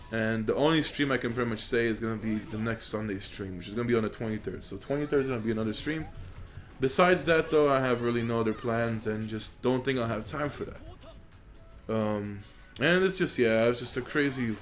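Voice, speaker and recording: Young male gamer, Polish native, mid-pitched, relaxed and filler-heavy commentary, desk mic, faint music bed. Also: moderate pace. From Poland. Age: young adult. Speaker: gaming YouTuber. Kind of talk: casual gameplay commentary. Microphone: USB desk microphone.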